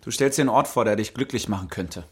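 An actor playing a gentle, whimsical, bookish young man in intimate radio play dialogue. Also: lowish voice, fast, husky. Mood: gentle, earnest.

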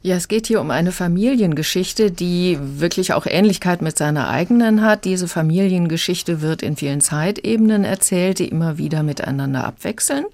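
A woman's voice: lowish voice, moderate pace, hardly any pauses, darkish tone, no echo. A woman working as a radio critic, explaining her impressions of audiobooks in a studio conversation.

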